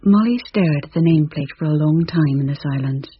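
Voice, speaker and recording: A woman with a very breathy, husky voice reads a suspenseful story in hushed tones. The recording is dry and close, with a slightly dull sound. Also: deep voice, brisk, no echo. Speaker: narrator. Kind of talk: storybook narration.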